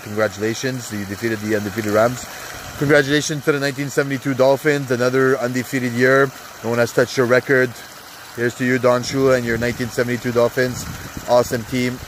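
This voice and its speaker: Congested man, mid-pitched, casual rambling sports picks vlog from an outdoor spa, faint background noise. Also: fairly even intonation, fairly quick, low-quality recording. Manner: rambling, many fillers, hyped asides. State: congested, sore throat. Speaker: sports betting vlogger. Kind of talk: casual NFL picks rundown. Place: outdoor hot tub on a cold day.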